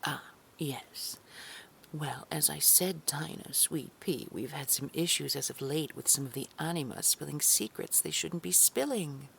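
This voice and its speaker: A low, creaky female boss of a secret order played by a woman actor drips with condescending sweetness. Her threats stay veiled under pet names, often sinking toward a breathy whisper, in audio drama style over faint noise. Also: a little slow, fairly bright tone, exaggerated voice. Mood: sweetly menacing. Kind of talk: scripted audio drama roleplay.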